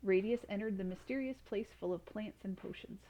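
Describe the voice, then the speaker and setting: Mellow-voiced woman reading a storybook. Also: medium pitch, brisk, low-quality recording. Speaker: storytime reader. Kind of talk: reading a children's storybook aloud.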